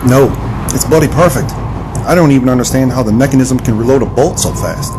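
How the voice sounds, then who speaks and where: A man's voice: medium pitch, brisk, noisy background, close to an everyday voice. A man playing an earnest, modest American marksman in an adventure audio drama. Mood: frustrated.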